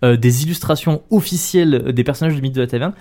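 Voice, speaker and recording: A man's voice: mid-range voice, fast, mellow. A man co-hosting a casual podcast, enthusiastically thanking supporters and collaborators. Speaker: podcast co-host.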